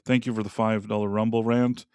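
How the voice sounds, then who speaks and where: A man's voice: on the low side, little pitch movement, brisk, darkish tone, clean tone, no room sound. Guy cohosting a live show, rambles, keeps restarting, dry close mic. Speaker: co-host. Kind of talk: casual live-stream chat.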